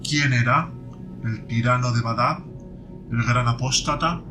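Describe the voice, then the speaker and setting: A man voicing a slow, doubting old-guard warrior. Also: mid-range voice, over music, lightly acted. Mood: wary. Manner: slow, wary and questioning. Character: skeptical veteran male Iron Warrior.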